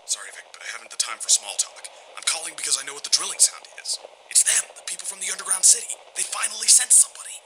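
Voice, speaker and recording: Man playing a hurried, whispery secretive captain. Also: sits high, sing-song, low-quality recording. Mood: urgent. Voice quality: whispery, breathy. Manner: hurried, conspiratorial. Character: urgent, secretive male captain phoning a radio show. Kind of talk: radio drama acting.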